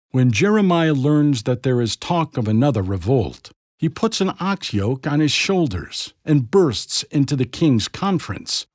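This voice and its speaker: Male narrator, lively intonation, husky edge, dramatic children's storytelling, soft music behind. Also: a little high, dry recording, clean studio sound.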